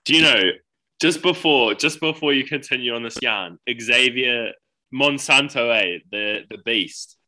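A man's voice: mid-range voice, sharp tone, poor audio. A young man from New Zealand with a New Zealand accent, co-hosting a casual podcast chat in an easygoing way with kiwi slang. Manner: easygoing, quick laughs, Māori greetings and kiwi slang. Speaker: podcast co-host.

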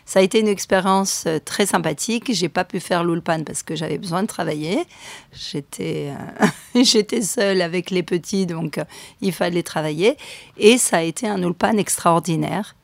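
A woman's voice: fairly low pitch, normal speed, gravelly, no echo. A middle-aged woman from France, a guest on a radio show, talking in a chatty, hesitant way with many fillers. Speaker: guest, real estate agent. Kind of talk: radio interview.

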